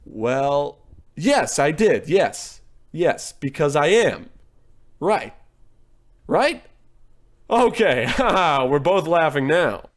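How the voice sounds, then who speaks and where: A man's voice: fairly high pitch, big pitch swings, drawn-out words, long pauses, exaggerated voice. A man playing a slick, condescending door-to-door salesman in an old-time radio comedy. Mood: evasive, cheery. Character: smooth-talking, pushy, condescending conman door-to-door salesman.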